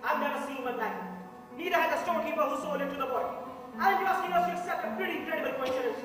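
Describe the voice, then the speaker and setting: A man's voice: very high-pitched, very fast, over music. A young man playing a methodical, insistent juror in a stage drama, laying out his case point by point. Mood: skeptical. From India. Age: young adult. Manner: emphatic, point-by-point recital. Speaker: stage actor in a college play. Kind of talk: theatrical courtroom-drama dialogue. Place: theatre stage before an audience. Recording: echoey stage hall, distant microphone.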